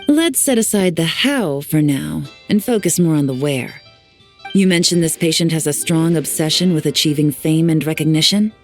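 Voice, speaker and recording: A cool, shrewd female investigator in a video game. Her voice is fairly low, and she talks with composed, deliberate wryness. Faint music plays underneath. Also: lively intonation. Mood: analytical. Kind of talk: video game character acting.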